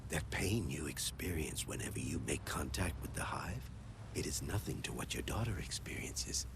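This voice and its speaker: Game villain: bottomless, crackling low voice, formal, softly menacing scientist-zealot. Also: male voice, lively intonation. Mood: cold, evasive. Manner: measured, formal, grandiose. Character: soft-spoken, menacing male cult scientist, devout and chillingly tender. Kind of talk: cinematic video game acting.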